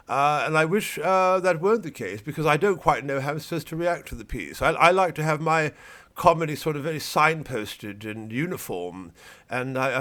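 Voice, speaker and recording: A man's voice: medium pitch, a bit bright, natural voice. A man voicing a pompous boy who calls himself a seventeen-year-old old man, with fussy, stammering, prim disapproval. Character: pompous self-declared 17-year-old male fogey, prissy. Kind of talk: satirical comedy monologue.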